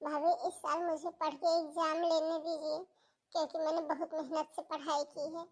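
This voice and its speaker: A woman's voice: high-pitched, level tone, moderate pace, lightly acted. A woman voicing a tearful, earnest young schoolgirl in a cartoon family melodrama, pleading plaintively. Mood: distressed.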